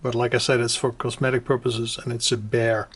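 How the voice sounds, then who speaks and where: A man presenting an informal hands-on do-it-yourself tutorial, explaining step by step in a halting way and repeating his instructions. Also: medium pitch, little pitch movement, fairly bright tone. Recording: clearly noisy home recording with clicks.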